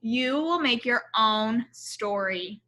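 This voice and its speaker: A woman voicing a loving father who tells family stories in a storybook, with gentle, measured delivery. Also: on the high side, lively intonation, heavily acted. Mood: fond, reflective.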